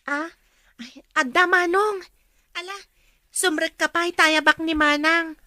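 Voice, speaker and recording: A woman's voice: very high-pitched, fairly even intonation, slow, pushed and bright, no room sound, studio quality, exaggerated voice. An actress playing an earnest, polite young woman student in a radio drama, speaking with a Filipino accent. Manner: polite, deferential. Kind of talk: Ilocano radio drama acting.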